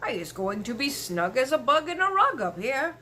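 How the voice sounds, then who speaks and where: Storybook giant, played by a woman: huge, childlike and excitable, jumbled words, lively swooping delivery with background noise. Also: high voice, moderate pace, voice pushed up, full character voice. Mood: delighted wonder. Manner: garbled made-up words and broken grammar. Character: big friendly giant, childlike, excitable, awestruck.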